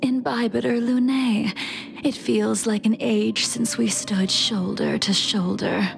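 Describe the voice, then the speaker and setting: Very creaky, aloof swordswoman. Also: fairly high pitch, fairly even intonation, a little hiss or hum, exaggerated voice. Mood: aloof, wistful. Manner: measured, solemn, aloof. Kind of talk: anime game voice acting. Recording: music behind the voice.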